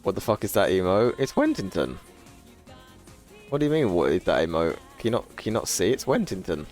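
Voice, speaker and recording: A man's voice: sing-song, brisk, darkish tone, faint music behind. A man in his twenties, chatting casually and profanely to a live stream audience, rambling and laughing often. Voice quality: low voice. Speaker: livestreamer.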